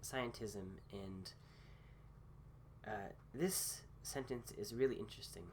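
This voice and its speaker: A man recovering from a cold gives a casual philosophy talk with many pauses, fillers and restarts. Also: on the low side, background noise. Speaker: host.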